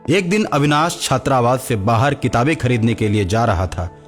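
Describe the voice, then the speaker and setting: Man for scripted story narration, medium pitch, with music playing behind. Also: animated, normal speed.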